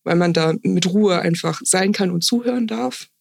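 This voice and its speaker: A woman's voice: on the low side, normal speed, creaky, no room sound, studio quality. A woman in her early thirties answering interview questions in a casual, conversational way. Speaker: podcast guest, hospice volunteer.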